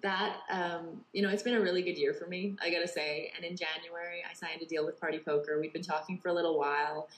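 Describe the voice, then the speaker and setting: A woman's voice: fairly low pitch, fairly even intonation, fast talker, few pauses. A Canadian woman with a slight English tinge to her accent, chatting freely as an interview guest with lots of filler words. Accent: Canadian with English traces. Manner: chatty, many "you know" and "like" fillers. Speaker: interview guest, poker TV presenter. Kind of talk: casual interview chat. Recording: echoey room with faint noise.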